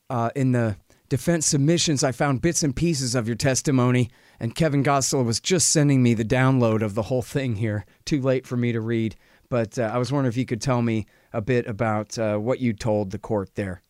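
A man hosting a casual podcast interview, speaking in a relaxed, folksy drawl filled with uh's. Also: medium pitch, normal speed, dry recording, clean studio sound. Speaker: interview host.

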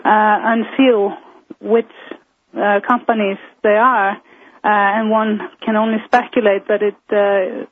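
A woman speaks as a phone-in guest, pausing often to search for her words. Her voice is slightly husky and mid-pitched, and it comes through a dry, tinny phone line. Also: flat delivery. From Iceland. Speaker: guest, member of parliament. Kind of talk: phone-in interview.

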